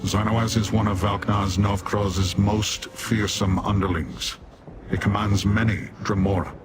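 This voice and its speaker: A haughty demon warrior from a fantasy video game, played by a man, speaks in a low voice. He is stern, formal and commanding, with music clearly playing behind him. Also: fairly even intonation, natural voice. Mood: stern, dismissive. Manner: formal, commanding, deliberate phrasing. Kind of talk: scripted fantasy game dialogue.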